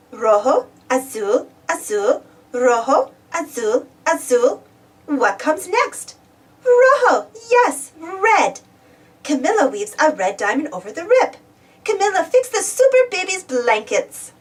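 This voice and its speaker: A woman's voice: high voice, big pitch swings, takes it slowly, far from the mic. A woman reading a children's story aloud, exclaiming and putting questions to young listeners. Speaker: storybook reader. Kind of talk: animated children's read-aloud narration.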